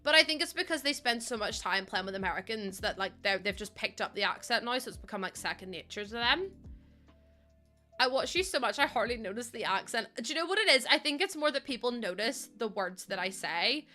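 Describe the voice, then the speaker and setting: Bright-voiced Northern Irish woman streamer. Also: on the high side, animated, brisk. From Northern Ireland. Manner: chatty, giggly, swears casually, local slang. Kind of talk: casual chat with live chat. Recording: faint music behind.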